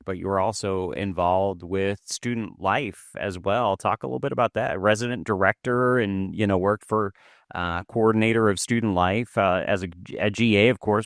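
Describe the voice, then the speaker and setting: Guy hosting a podcast, rambles with lots of uhs, smooth low voice, dry sound. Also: fairly bright tone, no echo. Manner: rambling, many filler words. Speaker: podcast host. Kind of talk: casual interview chat.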